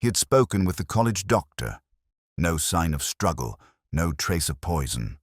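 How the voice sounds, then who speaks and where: A man narrating a scripted first-person detective story in a measured, literary, reflective manner. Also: sits low, sing-song, husky, dry recording, clean studio sound.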